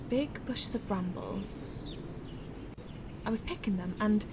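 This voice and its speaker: A woman's voice: mid-range voice, lightly acted. A young woman acting in an audio drama as a suspicious woman convinced she has been betrayed. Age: young adult. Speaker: student actor. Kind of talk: audio drama monologue and dialogue. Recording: noisy amateur home recording.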